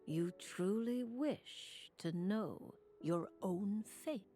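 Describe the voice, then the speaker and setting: A woman's voice: medium pitch, extremely slow, mellow. A woman voicing a mysterious, theatrical fortune-teller in an anime game, drawing out each phrase portentously. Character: mysterious, theatrical female fortune-teller who savours suspense. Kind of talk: anime game character acting. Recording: game music over the voice.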